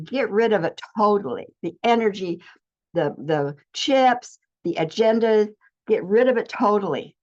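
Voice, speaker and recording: Woman, spiritual teacher, earnest declarative delivery, mid-range voice, slightly dull lo-fi recording. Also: mid-range voice. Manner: earnest, declarative, with frequent restarts.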